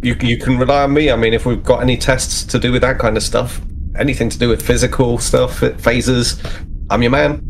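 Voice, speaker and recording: A man's voice: mid-range voice, steady tone, a little fast, gravelly, a little hiss or hum, natural voice. A man playing an eager, boastful but awkward young ensign in a tabletop roleplay, stammering and repeating his words. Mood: boastful, flustered.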